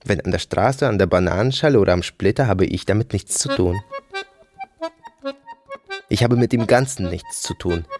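A man's voice: low-pitched, normal speed, pauses often, a little mellow, soft background music. An actor playing a brooding, detached man in an audio drama monologue, speaking in terse fragments with sudden emphatic bursts. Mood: detached, evasive. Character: brooding, detached male narrator recounting violence and family.

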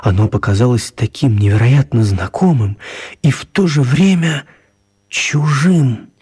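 An actor playing a storyteller, narrating a children's radio tale with measured dramatic pauses. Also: male voice, mid-range voice, a little mellow, husky. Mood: dramatic narration. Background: music.

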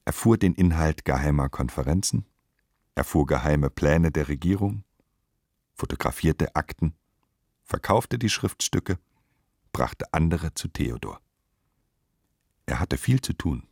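A man's voice: rumbling low, lively intonation, a little slow, gravelly, pauses often, darkish tone, no echo, studio quality. A man narrating a literary radio play from a prepared script.

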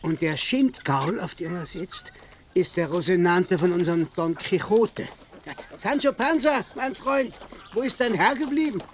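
An actor in a radio play playing a curious, coaxing and polite village priest. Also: male voice, sits high, measured pace, a little hiss or hum. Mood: hailing, friendly.

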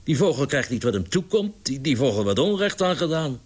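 A man's voice: fairly low pitch, lively intonation, moderate pace. Man acting out a boisterous, plaintive pigeon owner. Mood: indignant. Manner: exclaiming, coaxing, stammering in indignation. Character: tall boisterous Irish pigeon owner, innocent, plaintive and doting.

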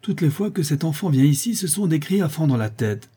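A man's voice: medium pitch, normal speed, mellow, breathy edge, natural voice. A man voicing a stern, imperious countess in a storybook, with a curt and commanding manner. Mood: outraged. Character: stern, imperious countess, an outraged aristocratic mother.

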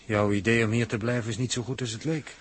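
A man's voice: medium pitch, fairly even intonation, quick pace, a little hiss or hum, close to an everyday voice. A man with a Netherlands Dutch accent acting a weary, wary space crewman in a 1960s science-fiction radio drama. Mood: weary, calm. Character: male space crewman Huub, weary, wary, plain-spoken.

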